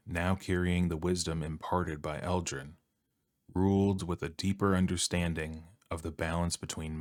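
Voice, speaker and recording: Male ASMR bedtime narrator: very low, soft-spoken, calm and measured, with a flat, even delivery. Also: no echo, clean studio sound.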